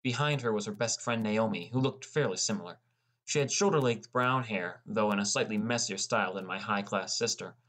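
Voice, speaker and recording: A man's voice: on the low side, fairly quick, fairly bright tone, natural voice. A man playing a sullen, defensive teenage boy who narrates his own story in a scripted audiobook. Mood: narrating.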